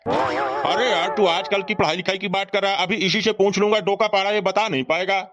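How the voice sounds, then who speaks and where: A man's voice: high-pitched, a little fast, crowd noise, close to an everyday voice. A man voicing a stubborn, boastful old village uncle in a comic cartoon, argumentative and colloquial. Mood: boastful, mocking.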